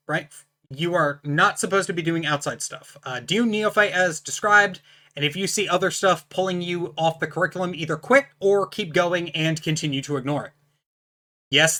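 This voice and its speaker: Host laying down the rules, bright sharp voice, powerful and distinct, blunt and emphatic. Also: medium pitch. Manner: emphatic, lecturing, blunt rule-setting. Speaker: channel host reviewing a book. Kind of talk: casual explanatory talk to camera.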